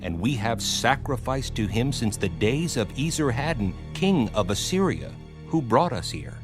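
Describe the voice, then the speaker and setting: An actor playing a solemn ancient petitioner in a dramatised scripture audiobook, speaking deliberately. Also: male voice, mid-range voice, very animated, music in the background, lightly acted. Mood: earnest petition. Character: male adversary offering to help build, solemn petitioner.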